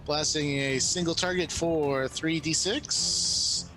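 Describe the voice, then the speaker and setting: A man's voice: on the high side, fairly even intonation, slow, bright, forceful tone, a little hiss or hum. A man playing a tabletop game, describing his character's actions as a player.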